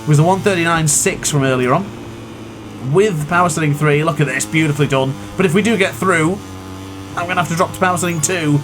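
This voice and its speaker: A man commentating his own racing game run in a chatty, excitable way, with a sore throat. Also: fairly high pitch, quick pace, background noise. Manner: excitable, chatty, exclaims at crashes. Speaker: gaming commentator. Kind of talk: live gameplay commentary.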